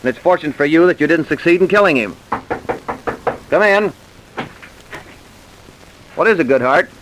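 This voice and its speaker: An actor playing a formal, doubting British police detective in an old-time radio drama, measured and dry. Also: male voice, fairly high pitch, expressive, a bit bright, a little hiss or hum, low-quality recording. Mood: stern, skeptical.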